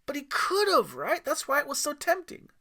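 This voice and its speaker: A man's voice: very high voice, huge pitch swings, normal speed, bright, forceful tone, voice pushed up, full character voice. A man voicing an earnest, inquisitive young boy in an audiobook story, questioning insistently. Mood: insistent, puzzled.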